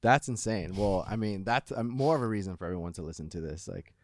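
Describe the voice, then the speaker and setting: A man's voice: lowish voice, fast, dry recording, studio quality. A man interviewing a singer in casual chat, affirming and enthusiastic in his reactions.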